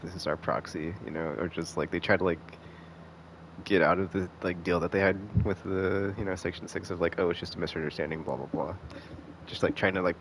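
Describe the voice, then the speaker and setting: A man giving casual live commentary as a game streamer, rambling and halting, often restarting with "like". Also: deep voice, slight background noise, poor audio. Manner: rambling, halting, heavy use of filler "like".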